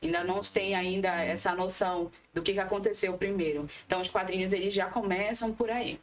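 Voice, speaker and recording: A woman's voice: medium pitch, fairly even intonation, brisk. A woman from northern Brazil explaining things conversationally as a guest, qualifying her points as she goes. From Amapá, Brazil. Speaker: guest, comics artist and illustrator. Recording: distant from the microphone.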